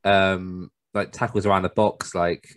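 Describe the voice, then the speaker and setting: A man's voice: low voice, little pitch movement, unhurried, no room sound, studio quality. A man in casual chat as a co-host, often repeating words as he starts a thought. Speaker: podcast co-host and guest.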